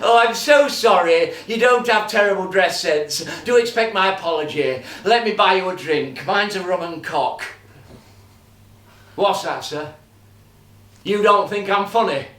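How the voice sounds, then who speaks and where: An actor playing a brash, flirty pantomime dame who is an ugly sister, teasing the audience in a stage comedy. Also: male voice, sits high, animated, measured pace, a bit bright. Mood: cheeky flirting. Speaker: stage performer. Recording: roomy and distant.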